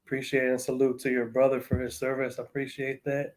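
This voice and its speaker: A man's voice: medium pitch, completely flat delivery, a little fast, crowd noise. A man hosting a casual interview, asking questions in a friendly, encouraging manner. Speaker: host.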